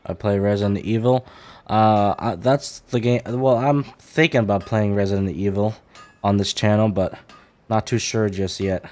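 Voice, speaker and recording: Low-voiced, offhand male game streamer. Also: fairly even intonation, moderate pace, a little mellow. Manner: offhand, rambling. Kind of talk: casual live gameplay commentary.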